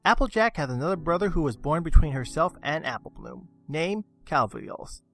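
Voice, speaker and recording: A man's voice: a little high, animated, normal speed, fairly bright tone. A man reading a scripted review as a video reviewer. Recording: music under the voice. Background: background music.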